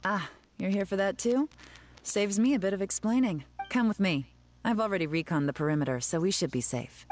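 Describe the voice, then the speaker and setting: A woman's voice: mid-range voice, expressive, fairly quick, a little mellow, a little hiss or hum, close to an everyday voice. A woman playing a cool, self-assured adventurer in an anime game, with a dry, sardonic, casual manner. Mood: businesslike.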